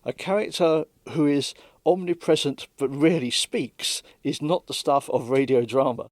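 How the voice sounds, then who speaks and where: A man giving measured, explanatory interview commentary as a radio dramatist, close to the microphone. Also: on the high side, darkish tone, dry recording.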